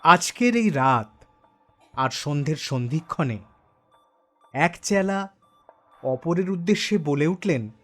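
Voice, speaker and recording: Male narrator for horror audio story: highish voice, very expressive, suspenseful, unhurried. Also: faint music behind. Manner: suspenseful, dramatic pauses. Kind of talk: dramatic scripted first-person horror narration.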